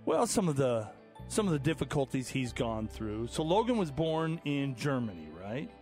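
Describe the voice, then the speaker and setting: A man's voice: medium pitch, big pitch swings, moderate pace, darkish tone, faint music behind. A man hosting a radio morning show, interviewing a caller with friendly prompting questions.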